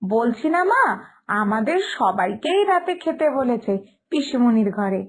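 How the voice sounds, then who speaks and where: Woman as polite, high-pitched young daughter-in-law. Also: sing-song, unhurried. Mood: polite, coaxing. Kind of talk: cartoon story dialogue.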